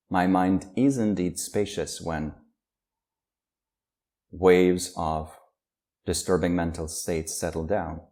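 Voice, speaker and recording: Calm deep-voiced man guiding meditation. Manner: slow, calm, with long pauses. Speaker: meditation teacher. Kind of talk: guided meditation.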